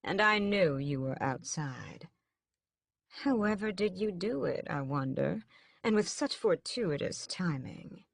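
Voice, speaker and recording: Lady doing a smug vampire guy for an audiobook, slow lazy drawl, lowish, sinister and mocking. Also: animated, gravelly, no room sound, studio quality, heavily acted. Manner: drawling, casual menace. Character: suave, sinister male vampire captor, languid and mocking.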